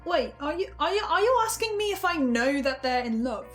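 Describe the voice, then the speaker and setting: A woman's voice: high-pitched, sing-song, a little fast, faint music behind. A woman playing a wary, earnest seer who speaks hesitantly in a dramatic roleplay. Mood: hesitant, sincere.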